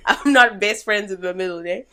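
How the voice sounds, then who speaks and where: A young woman from Zimbabwe, a guest chatting casually on a podcast, playful and animated as she tells stories. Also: mid-range voice, normal speed. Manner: animated, playful storyteller with bursts of laughter. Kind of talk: casual chat.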